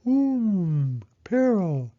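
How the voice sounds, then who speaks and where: High, hooting storybook owl. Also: male voice, very animated, lo-fi sound, full character voice. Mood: thoughtful. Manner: hooting, exclamatory, drawn-out oohs. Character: eager, self-assured male owl, children's storybook helper.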